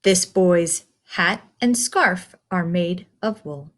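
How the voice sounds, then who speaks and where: A woman reading a children's picture book aloud in a simple, deliberate, instructive way, putting questions to the listener. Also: lowish voice, expressive, a bit bright.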